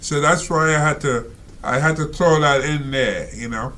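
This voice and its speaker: A man reading out and commenting on a reader's letter, talking slowly and rambling with many fillers and asides. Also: on the high side, bright, forceful tone, a little hiss or hum. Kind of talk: casual spoken commentary.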